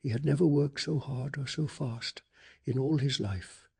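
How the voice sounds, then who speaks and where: A man softly narrating a bedtime story in ASMR style, hushed and calming, with a breathy, gravelly voice. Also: medium pitch, a little slow.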